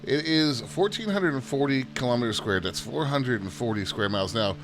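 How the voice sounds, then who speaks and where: A man's voice: mid-range voice, brisk. A man hosting a flight sim channel, giving easygoing, self-deprecating commentary in a chatty tour-guide way. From United States. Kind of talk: casual gameplay commentary. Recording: steady background hum.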